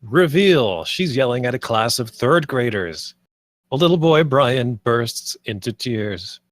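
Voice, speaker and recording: A man reads screenplay directions as scripted narration. His voice is mid-pitched, and the sound is close and dry with no room on it. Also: expressive, takes it slowly, no room sound. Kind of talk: scripted narration at a table read.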